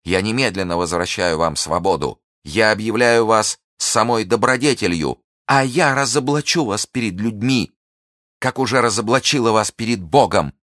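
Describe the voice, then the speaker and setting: A man's voice: low voice, fairly bright tone, no room sound, studio quality. A man reading an audiobook, voicing a proud, vengeful young woman who speaks in a defiant, declamatory way.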